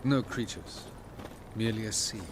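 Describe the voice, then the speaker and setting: A man's voice: lowish voice, steady tone, moderate pace, noisy background, lightly acted. An actor playing an aloof, ancient male elf sage who speaks in formal, condescending sentences in a video game. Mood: lofty.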